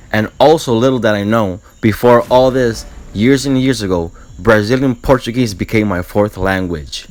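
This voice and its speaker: A man's voice: lowish voice, slight background noise. A man from Puerto Rico talking to camera in an earnest, explanatory way, reading prepared lines for his vlog. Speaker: vlogger. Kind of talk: scripted talk to camera.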